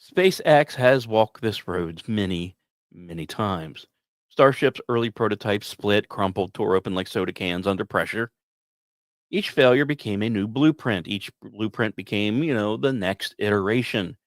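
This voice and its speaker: A man hosting a podcast, reading scripted narration with casual asides in a storyteller's manner, with dramatic pauses and emphasis. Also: on the low side, animated, no echo, studio quality. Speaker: podcast host.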